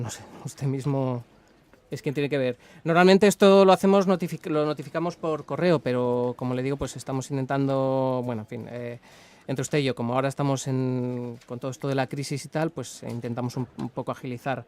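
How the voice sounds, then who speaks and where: Man acting as smooth, hesitant, polite Spanish bureaucrat. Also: medium pitch, fairly quick, a little mellow, natural voice. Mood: matter-of-fact. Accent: Spanish from Spain. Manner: hesitant, courteous, official-sounding. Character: polite, apologetic, bureaucratic male traffic authority official. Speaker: radio prank caller. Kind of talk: improvised prank phone call in a formal register.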